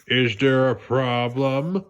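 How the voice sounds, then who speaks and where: A man voicing a smug, gloating tiny villain with taunting, drawn-out delivery for a storybook. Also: medium pitch, clear, resonant tone, highs cut off, close to an everyday voice. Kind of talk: storybook character voice.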